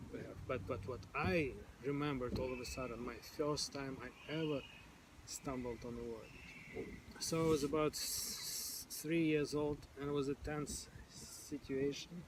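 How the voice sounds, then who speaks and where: Man with occasional stutter, noisy outdoors. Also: medium pitch. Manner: occasional stuttered repetitions, informal phrasing. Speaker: stammering support group member. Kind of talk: casual conversation.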